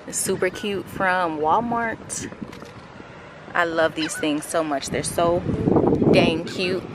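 A woman's voice: fairly low pitch, fairly even intonation, takes it slowly. A woman in her late twenties chatting casually to her phone camera as she walks along a beach. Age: late 20s. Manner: chatty, talking to the camera while walking. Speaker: vlogger. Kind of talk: casual travel vlog. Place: outdoors on a beach by the sea. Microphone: phone camera at arm's length. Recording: loud wind and surf noise.

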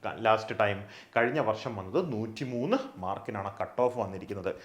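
A young man teaching an exam prep lesson. He asks questions and answers them himself, and he keeps adding quick tag questions. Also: fairly low pitch. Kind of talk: explainer lesson on an exam, mixing English terms. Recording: echoey room.

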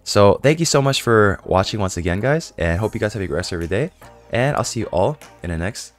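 A young man with a hoarse voice from a cold, chatting casually to viewers while playing a game, rambling with lots of fillers. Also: fairly low pitch, lively intonation, fairly quick, soft background music. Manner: chatty, rambling, many fillers and self-questions. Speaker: gaming YouTuber.

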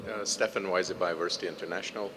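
A middle-aged man with a very deep voice asks a considered question, pausing on fillers along the way. He speaks into a handheld mic in a large hall full of long reverb and a faint low rumble. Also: steady tone, fairly bright tone. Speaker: audience member asking a question. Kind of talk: conference question.

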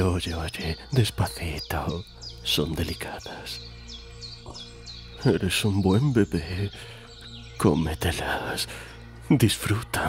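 A man's voice: low voice, full character voice. A man with a Spain accent voicing a creepy, predatory gumball-machine boss in a parody cartoon, insinuating and unctuous. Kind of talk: adult parody cartoon fandub.

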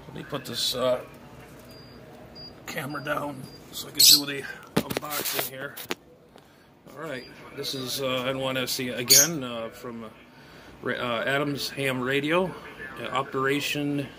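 Middle-aged male hobbyist vlogger, rambling and muttering as he thinks aloud, medium pitch, phone audio with noticeable noise. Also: little pitch movement, a little slow, long pauses. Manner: rambling, muttering to himself while handling items. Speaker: amateur radio hobbyist and vlogger. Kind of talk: casual unboxing vlog, thinking aloud. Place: small bedroom, indoors. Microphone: phone propped at arm's length. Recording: clear background noise, room ambience.

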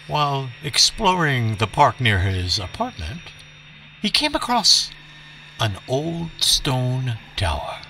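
A man performs an eccentric professor reading a children's story as if on old radio. The voice is bright and very animated, with slow, theatrical phrasing and dramatic pauses. It sits a little away from the microphone. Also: mid-range voice, distant mic, a little hiss or hum, exaggerated voice, low-quality recording.

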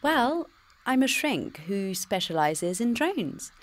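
A woman's voice: fairly high pitch, very animated, takes it slowly. A woman with a British accent voicing a computer-generated future self, cheeky, teasing and always dodging the question. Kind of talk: pre-recorded game dialogue.

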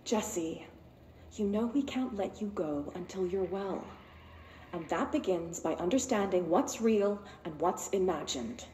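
A female therapist in a game, soothing yet sceptical and probing. Her voice is slightly low and very mellow, with measured, clinical phrasing. It sits in an echoey room. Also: lively intonation. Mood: gentle, firm. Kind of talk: naturalistic video game acting. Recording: roomy echo, faint background noise.

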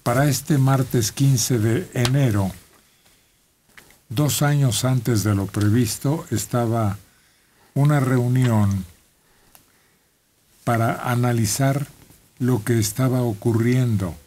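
Older man, slow deliberate reader, radio studio, desk microphone, light background hiss. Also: medium pitch, dark tone. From Mexico. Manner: slow, deliberate reading from paper. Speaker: radio panelist reading news notes. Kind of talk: reading news items on air. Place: radio studio with round table.

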